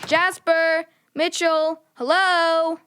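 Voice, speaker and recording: An actress voicing a spirited, curious young girl with a direct, expressive manner in a children's audio drama. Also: very high voice, extremely slow, exaggerated voice. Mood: excited, urgent.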